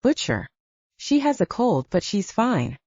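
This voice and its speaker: A woman's voice: on the high side, very animated, unhurried, darkish tone, no echo, muffled recording, voice pushed up. A woman voicing a blunt, protective young male butcher who teases, in an audiobook. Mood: teasing. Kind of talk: audiobook character dialogue.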